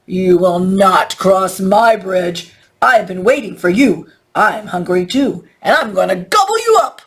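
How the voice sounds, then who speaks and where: A woman voicing an ugly, greedy, hungry male troll for a children's storybook, growling out slow, menacing threats. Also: mid-range voice, animated, natural voice. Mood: menacing, angry. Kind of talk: children's storybook read-aloud.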